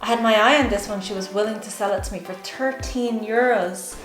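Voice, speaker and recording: A woman's voice: medium pitch, fairly quick, poor audio. Chatty woman vlogger with music behind. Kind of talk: casual shopping vlog narration and chat.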